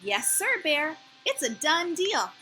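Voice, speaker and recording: A sly storybook hare farmer played by a woman, high and bright. He is cheerful and pleased with his bargain, stretching out his words as he gloats. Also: sing-song, takes it slowly, faint music behind, heavily acted. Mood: smug.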